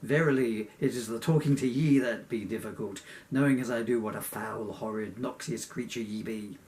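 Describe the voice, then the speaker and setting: A man's voice: on the low side, moderate pace, a little mellow, recorded from a distance, lightly acted. A man voicing a wretched, indignant male ghost, insistent and exasperated, in a comic storybook reading. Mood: scornful. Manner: archaic, insistent, increasingly exasperated.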